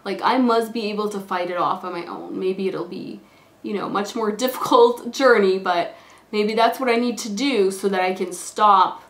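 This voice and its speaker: A hoarse, stuffed-up young woman who is sick with a cold, chatting casually and rambling with lots of fillers while doing her makeup. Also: mid-range voice, moderate pace, fairly bright tone. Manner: rambling, filler-heavy, lots of "like" and "you know". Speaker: beauty vlogger. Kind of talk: casual chatty get-ready-with-me talk. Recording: echoey room.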